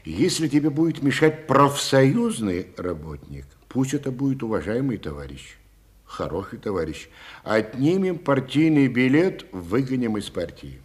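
A man acting a senior official in an archive stage recording, speaking low and firm in a lecturing way. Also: big pitch swings, normal speed, close to an everyday voice. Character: male senior Soviet official, commanding and blunt. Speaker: stage actor in an archive theatre scene.

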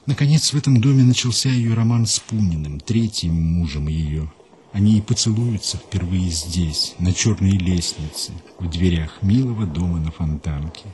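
A man hosting a radio program, reading a scripted story in a measured, unhurried way and dropping lower and quieter for quotations. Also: a little hiss or hum. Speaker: radio host and narrator.

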